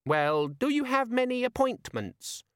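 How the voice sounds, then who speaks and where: A man's voice: extremely high pitch, sing-song, a little slow, a bit bright, clean tone, no room sound, clean studio sound, voice pushed up, full character voice. A man voicing a small, chirpy demon inside a gadget organizer, over-eager with its sales patter in an audiobook story. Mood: nervously eager.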